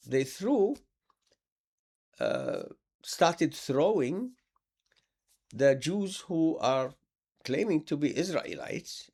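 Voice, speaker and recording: A man's voice: medium pitch, vocal fry, a little mellow, slightly rough. A man from Palestine, an Islamic preacher delivering an impassioned, sarcastic monologue that repeats words for emphasis.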